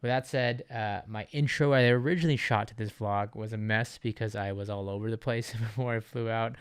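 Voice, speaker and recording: A man's voice: lowish voice, steady tone, a little fast, clear, resonant tone, no echo, clean studio sound. A man from Japan talking casually to viewers as a vlogger, in a conversational, wordy way that often rephrases itself. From Japan, Okinawa. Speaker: vlogger, channel host.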